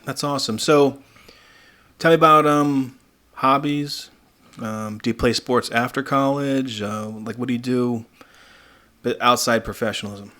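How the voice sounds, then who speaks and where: A man's voice: medium pitch, unhurried, pauses often, sharp tone. A man hosting a podcast, chatting casually as he interviews.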